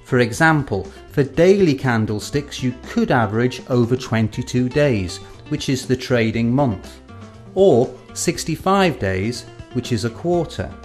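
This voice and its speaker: Male narrator, mid-pitched voice, measured explanatory delivery, drawn-out phrase endings, scripted lesson, faint music behind. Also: lively intonation. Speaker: presenter of an educational film. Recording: music under the voice.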